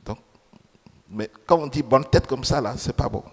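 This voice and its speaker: A man's voice: medium pitch, moderate pace, creaky, a little mellow, breathy edge. A man preaching a sermon in French, which is not his first language. He repeats key phrases and puts questions to the congregation. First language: another language than French. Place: church. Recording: church reverb. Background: long hall reverb.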